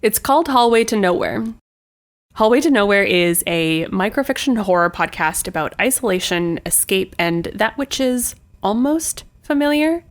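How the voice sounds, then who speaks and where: A woman's voice: medium pitch, expressive, moderate pace. A woman speaking as a podcast host, giving a chatty, playful, informal recommendation straight to listeners.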